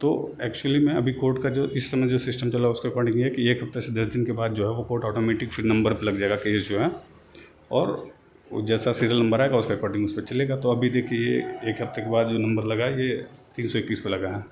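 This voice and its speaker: A man giving an informal explanatory update to viewers as an online host, in a halting, repetitive way with drawn-out words. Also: mid-range voice, fairly even intonation, darkish tone, slight background noise. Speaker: YouTube host giving exam and recruitment updates.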